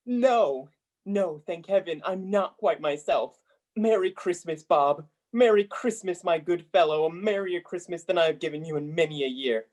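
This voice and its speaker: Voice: medium pitch, moderate pace, darkish tone, close to an everyday voice. A young performer playing a giddy, generous reformed old miser in a 1930s-style radio play, hearty and exclamatory. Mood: joyful. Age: young adult. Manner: exclamatory, hearty, courtly old-fashioned phrasing.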